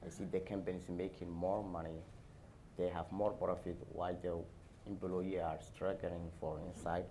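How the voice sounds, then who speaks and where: A man from Somalia with a Somali accent, speaking haltingly on a panel as an airport worker. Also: sits low, little pitch movement, mellow, a little hiss or hum. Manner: halting, non-native phrasing. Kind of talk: panel discussion answers.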